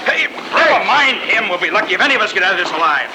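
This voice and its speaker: An actor playing a hard, bossy gang-leader villain barking orders in 1940s radio adventure style. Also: male voice, high voice, big pitch swings, fast talker. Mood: harried, commanding. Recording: very noisy old 1940s radio recording.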